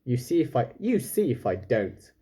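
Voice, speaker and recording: A man's voice: medium pitch, sing-song, normal speed, mellow, slightly rough. A man reading the part of a defiant, determined young girl apprentice in a children's storybook, indignant and stubborn.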